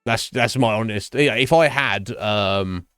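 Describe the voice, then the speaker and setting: A man streaming live and chatting with viewers, thinking his answers through in a halting, thoughtful way. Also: fairly low pitch, fairly bright tone, smooth, no echo, clean studio sound, faint music behind. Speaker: Twitch streamer.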